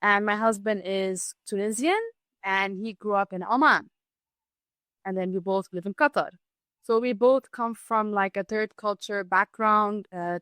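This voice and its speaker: A woman's voice: medium pitch, sharp tone, clear, resonant tone, dry recording. A woman in her thirties from Lebanon who grew up in southern Africa, telling her personal story openly in a conversational, explanatory way as a guest. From Lebanese, grew up in Zimbabwe and the Gambia. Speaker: podcast guest, holistic wellness practitioner.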